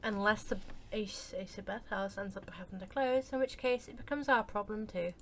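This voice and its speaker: A woman's voice: medium pitch, steady tone, moderate pace. A woman streamer doing casual game commentary and reading dialogue choices aloud, with keyboard clicks behind her. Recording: noisy, with clicks and typing.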